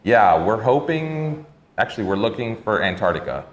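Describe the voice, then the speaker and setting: A man's voice: lowish voice, big pitch swings, moderate pace, muffled recording, slight background noise. A man hosting a live stream, chatty and reading viewer comments aloud. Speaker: host. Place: indoor co-working space.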